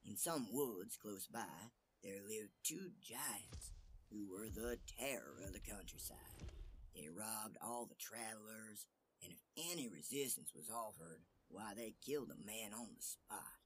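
A man's voice: lowish voice, lively intonation, unhurried, a little hiss or hum. A man narrating a scripted fairy tale as the storyteller. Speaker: storyteller host.

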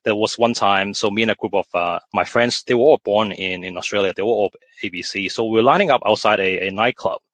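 A man from Hong Kong hosting an interview, asking questions in a relaxed, friendly way. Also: fairly low pitch, a little fast, hardly any pauses, no echo. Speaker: host. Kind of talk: conversational interview.

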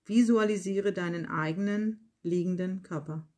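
A yoga nidra guide reading a scripted relaxation in calm, measured short phrases with pauses. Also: medium pitch. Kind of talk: slow guided relaxation meditation, read from a script.

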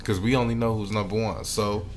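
A man's voice: on the low side, fairly even intonation, fairly quick, a little hiss or hum. A man with an American accent co-hosting a podcast in casual, profane, slangy banter. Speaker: podcast co-host.

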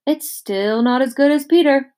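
A jovial middle-aged dentist, voiced by a woman reader, bright and teasing with a playful lift. He coaxes a stubborn child along in a storybook scene. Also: sits high, moderate pace, voice pushed up, lightly acted.

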